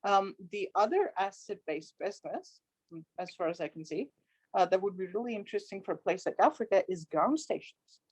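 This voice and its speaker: A woman's voice: mid-range voice, lively intonation, fairly quick, a little mellow, breathy edge, no echo. A woman on a video call, explaining an idea eagerly with many fillers and restarts. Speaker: event participant. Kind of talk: casual virtual panel remarks.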